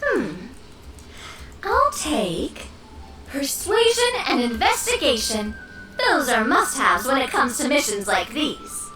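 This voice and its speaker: A bright-pitched, animated young woman, theatrical and teasing. The voice is a bit far off in an echoey game mix with music behind. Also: slow pace, exaggerated voice. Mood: playful. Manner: lively, teasing, fond of drama. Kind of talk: game voice acting.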